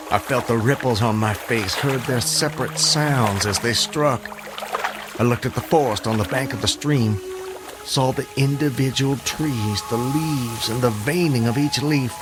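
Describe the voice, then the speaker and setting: A man's voice: medium pitch, close to an everyday voice. An actor playing an earnest, haunted man who escaped his hanging, recounting it in formal, vivid language for an audio drama. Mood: awed, intense. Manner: literary, vivid, formal narration. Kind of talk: audio drama monologue and dialogue. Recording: music under the voice. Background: music.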